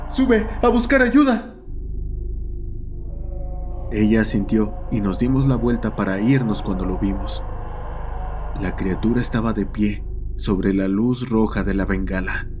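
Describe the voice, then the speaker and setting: Deep-ish guy narrating a creepypasta type horror story, faint music behind him. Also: steady tone, moderate pace.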